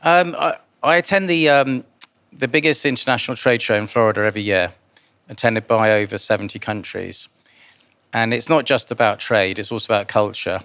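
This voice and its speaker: A man born in Guyana answers interview questions informally, in a halting way with repeated words. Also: lowish voice, fairly even intonation, a bit bright. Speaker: interviewee, philanthropist and investor.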